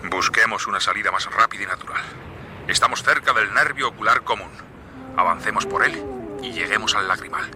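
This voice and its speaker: A low-voiced expedition leader, noisy recording. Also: male voice, fairly quick, sharp tone. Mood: urgent. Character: resolute expedition leader urging his companions on. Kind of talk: vintage Spanish radio drama acting. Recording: noisy old radio drama recording.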